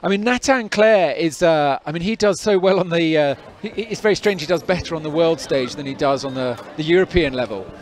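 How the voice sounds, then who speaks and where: A man's voice: on the high side, a little fast, hardly any pauses. A man doing live sports commentary and interviews, chatty and rambling with many fillers and restarts. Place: outdoors at a climbing event. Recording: crowd noise behind.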